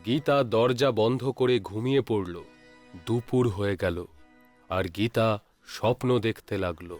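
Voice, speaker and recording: A man narrating a scripted horror story in a measured, dramatic storytelling manner. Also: on the low side, a little mellow, faint music behind.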